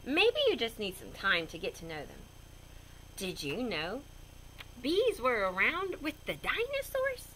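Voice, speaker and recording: A woman reading a children's picture book aloud in a goofy, expressive way with chatty asides. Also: fairly high pitch, unhurried, a little hiss or hum, low-quality recording. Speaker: storybook reader.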